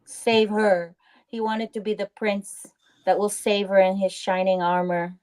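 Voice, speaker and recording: A woman's voice: medium pitch, level tone, a little slow, a little mellow, rough recording. A woman chatting casually on a live stream as a co-host, with an informal, outspoken and indignant way of talking.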